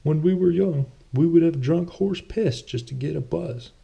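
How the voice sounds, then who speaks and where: Guy doing a rich older pious fella in a fantasy book, pitched up, earnest, persuasive. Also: normal speed, creaky, mellow, breathy edge, heavily acted. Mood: genial. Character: wealthy, pious, earnest older man, old friend.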